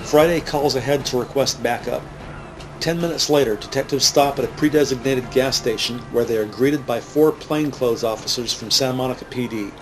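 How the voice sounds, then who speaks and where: A man narrating a scripted crime drama in a measured, matter-of-fact way. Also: medium pitch, low-quality recording. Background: music.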